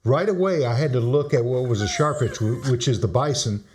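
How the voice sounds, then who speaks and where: A man's voice: medium pitch, animated, fairly quick, a little mellow, a little hiss or hum. A man casually reviewing a friend's photos, encouraging and giving short critiques. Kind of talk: casual chat.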